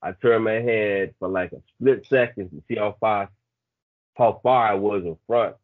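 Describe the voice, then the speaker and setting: A man's voice: fairly low pitch, a little slow, dry recording, narrow, tinny audio. A man in his thirties with a Bahamian accent, chatting casually and telling stories as a coach guest over a thin call line. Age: 30s. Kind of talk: casual storytelling chat.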